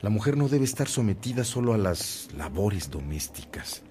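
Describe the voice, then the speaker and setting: Zealous kindly priest, radio drama, earnest, theatrical phrasing, background noise. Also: male voice, fairly low pitch, big pitch swings, moderate pace, warm tone.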